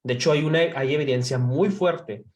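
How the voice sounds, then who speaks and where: A man's voice: medium pitch, normal speed, darkish tone. A Mexican man with a slight northern accent, co-hosting a casual panel podcast and explaining history with many fillers and restarts. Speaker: podcast co-host. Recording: roomy echo.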